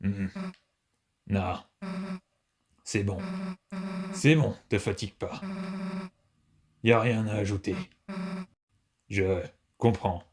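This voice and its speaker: A man voicing a gruff, hot-tempered yet wounded teenage monster girl in dramatic French comic-dub game dialogue, brusque and menacing. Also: fairly low pitch, pitched below the performer's own voice. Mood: bitter, resigned.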